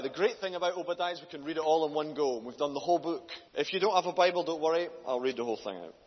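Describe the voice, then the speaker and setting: Male guest preacher, loud and earnest, muffled sound in an echoing hall. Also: a little high. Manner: loud, earnest, builds in force when reading. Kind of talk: church talk and scripture reading. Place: church hall. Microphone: handheld mic. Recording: dull distant hall recording.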